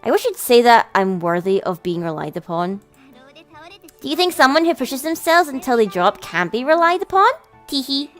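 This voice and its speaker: Gentle, wistful little sister for an anime-style game, polite and high-voiced, acted by a woman, over music. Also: big pitch swings, moderate pace. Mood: doting, teasing. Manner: soft, polite, doting. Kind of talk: visual novel character voice in a let's play.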